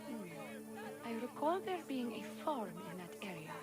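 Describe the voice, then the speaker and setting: A woman playing a cold, imperious and suspicious High Priestess in a video game, with a disdainful manner. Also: sing-song, normal speed. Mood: haughty. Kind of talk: scripted video game dialogue. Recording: loud music behind the voice.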